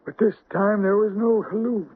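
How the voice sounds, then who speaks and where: A very husky, high-pitched groundskeeper, hesitant and unsettled in an old radio mystery. He reports strange things in halting phrases, through a tinny, narrow recording. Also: fairly even intonation. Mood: insistent, baffled. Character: shaken male cemetery groundskeeper reporting strange sights. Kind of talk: 1970s radio drama acting.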